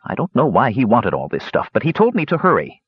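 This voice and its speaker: A man's voice: medium pitch, huge pitch swings, brisk, husky, no room sound, dull audio, exaggerated voice. A man voicing a crotchety old male dwarf hermit who talks stubbornly and curtly. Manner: stubborn, curt.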